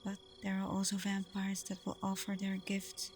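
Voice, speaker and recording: A woman acts as a caring vampire girlfriend in an ASMR roleplay. Her voice is soft and breathy, often nearly whispered, and stays very level in pitch. She is patient and reassuring, with some noise and ambience behind her. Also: monotone, lightly acted. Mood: wistful. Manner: soothing, reassuring, unhurried.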